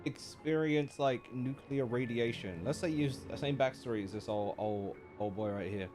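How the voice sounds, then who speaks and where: A man's voice: mid-range voice, normal speed. A man streaming live, giving casual, slangy and joking commentary as he reacts to a game. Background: game music.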